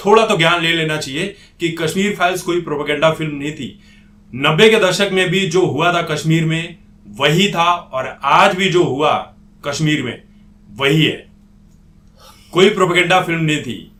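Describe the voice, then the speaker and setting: Indignant man in an echoey room, higher-pitched. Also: a bit bright. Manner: indignant, accusatory. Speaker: political commentator. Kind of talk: opinionated monologue to camera.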